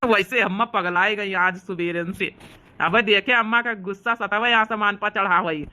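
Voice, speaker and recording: A woman's voice: mid-range voice, steady tone, normal speed, pushed and bright, natural voice. A woman voicing a teasing, easygoing young village husband in a cartoon comedy, with an Awadhi accent. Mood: amused.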